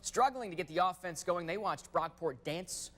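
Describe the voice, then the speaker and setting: A man's voice: high-pitched, animated, moderate pace, a bit bright. A man narrating sports stories as a broadcast reporter, with punchy, dramatic phrasing.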